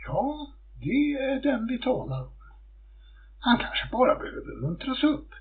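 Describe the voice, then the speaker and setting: A man's voice: very high-pitched, sing-song, voice pushed up, full character voice. A man voicing a joking, easily frightened tailor in a folktale. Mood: teasing. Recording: muffled phone-like audio.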